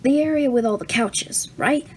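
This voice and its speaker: Woman playing a high-voiced nervous teen boy, stammers, eager about breakfast. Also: normal speed, slight background noise, natural voice. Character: nervous teenage boy superhero.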